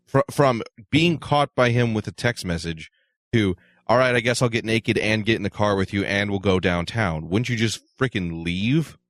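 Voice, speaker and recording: A man's voice: fairly low pitch, moderate pace, no room sound. A man co-hosting a talk show in casual chat, blunt and incredulous in his manner. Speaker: podcast co-host.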